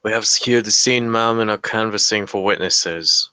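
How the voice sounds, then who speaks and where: A man's voice: on the low side, normal speed, pushed and bright, smooth, dry recording. A man playing a weary, guarded police detective in a screenplay table read, speaking tersely and with restraint. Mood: tense, deferential.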